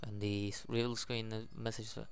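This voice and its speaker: A man hosting a gaming video, reading a visual novel aloud in a halting way, with casual commentary. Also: lowish voice, flat delivery, clear, resonant tone. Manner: halting, stumbles over the text.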